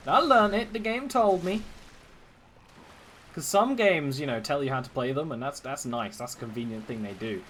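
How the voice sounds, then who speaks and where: A man's voice: mid-range voice, animated, moderate pace, a bit bright, slight background noise. A young man streaming his first run of a game, chatting casually and joking at his own expense. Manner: playful, jokey, self-mocking. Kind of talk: casual gameplay commentary.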